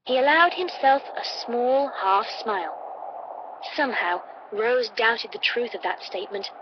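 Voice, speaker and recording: A woman's voice: fairly high pitch, lively intonation, measured pace. A woman reading a scripted story as an audiobook narrator. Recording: dull, noisy playback through computer.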